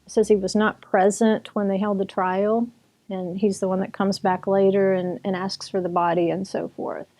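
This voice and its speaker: A woman author talking through her book in a relaxed, conversational way, chatty and full of restarts. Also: medium pitch, moderate pace, rough recording. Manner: chatty, with restarts and occasional laughter. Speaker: author and Bible teacher.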